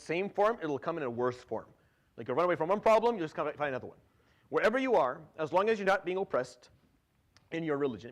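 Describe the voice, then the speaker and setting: Syrian-raised guy in his 30s giving a casual quran lesson, talks fast, kinda high voice, keeps restarting sentences. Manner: conversational, frequent restarts and repeated words, drops Arabic fillers; recites Quranic Arabic quickly. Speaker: imam giving Quran commentary. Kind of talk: informal religious lecture.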